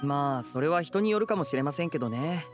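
A man's voice: a little high, lively intonation, fast talker, music in the background, lightly acted. A man playing a polite, earnest young man in a video game, reasoning things out in a courteous, thoughtful way. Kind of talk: scripted game dialogue.

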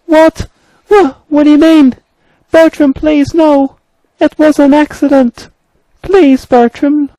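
Man putting on a high-pitched timid little train engine. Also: fairly even intonation, takes it slowly, warm tone, no echo, rough recording. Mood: panicked, pleading. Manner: nervous, pleading. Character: frightened, pleading little male mine engine, timid and apologetic.

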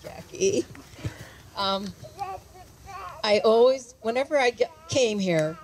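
A woman's voice: a little high, animated, takes it slowly, rough recording. An older woman remembering a friend at an outdoor memorial, talking plainly with some fillers into a handheld mic. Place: outdoors at a waterside memorial gathering.

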